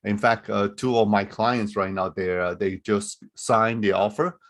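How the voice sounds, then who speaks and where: A man with a somewhat low voice walks listeners through the steps over a video call. He talks as a recruiter at a live webinar, loosely and conversationally, laying things out one point at a time. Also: fairly even intonation, a little slow. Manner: loose, conversational, explaining step by step. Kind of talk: live online webinar talk.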